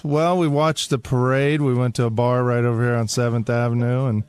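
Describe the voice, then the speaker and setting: A man's voice: medium pitch, level tone, brisk, a little mellow, clean tone, no echo. A man talking casually as a guest in an informal radio chat. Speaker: show staff member and drummer being interviewed.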